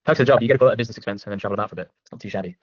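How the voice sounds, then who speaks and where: A man with an English accent, from England, running a sales call with confident, quick questions. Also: fairly low pitch, no room sound, dull audio. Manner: rapid-fire, confident. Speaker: sales closer.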